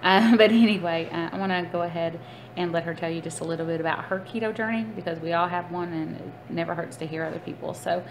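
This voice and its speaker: A woman's voice: on the low side, fast talker. A woman, chatting casually to viewers like a vlogger, recorded on a phone. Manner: chatty, informal, addresses viewers as guys. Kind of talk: casual vlog chat. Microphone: phone at arm's length. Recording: noisy amateur phone video.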